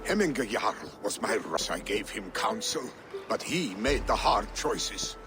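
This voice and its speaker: An actor playing an old, loyal Viking counsellor, grave and grieving, in solemn game cutscene dialogue. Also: male voice, on the low side, lively intonation, measured pace, music in the background. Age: older.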